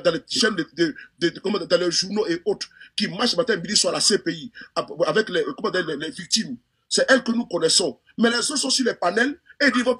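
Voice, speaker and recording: Brief: high-pitched Ivorian man, fast husky delivery, fervent political talk with many restarts. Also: a bit bright. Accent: Ivorian French. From Côte d'Ivoire. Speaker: political commentator.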